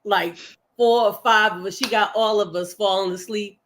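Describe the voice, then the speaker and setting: A woman in her fifties co-hosting a casual live stream chat, teasing and quick to laugh. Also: medium pitch, fairly even intonation, a bit bright, slight background noise. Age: 50s. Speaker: co-host.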